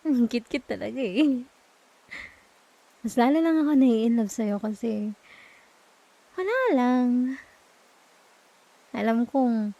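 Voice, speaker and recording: A woman with a Filipino accent playing a sweet, teasing, comforting girlfriend in a soft, intimate ASMR roleplay. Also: medium pitch, vocal fry, rough recording. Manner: soft, tender, playful, soothing.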